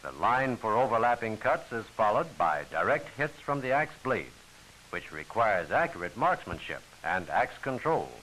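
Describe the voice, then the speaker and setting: A man's voice: lowish voice, very animated, normal speed, pushed and bright, slight background noise, poor audio. A man giving formal, instructional scripted narration for a training film, on an old film soundtrack.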